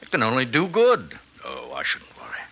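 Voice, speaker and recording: A man's voice: medium pitch, wildly swooping pitch, moderate pace, breathy edge, heavily acted. A man playing an anxious, morally troubled minister in an old radio drama, speaking in a hesitant, earnest way. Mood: reassuring. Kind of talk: 1940s-50s radio drama acting. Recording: muffled vintage radio recording, faint noise.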